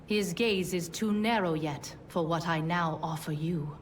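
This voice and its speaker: A distant, low-voiced fallen angel played by a woman schemes with grave conviction. She is deliberate and conspiratorial, in fantasy game style, with some ambient noise around her. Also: close to an everyday voice. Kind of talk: fantasy video game voice acting.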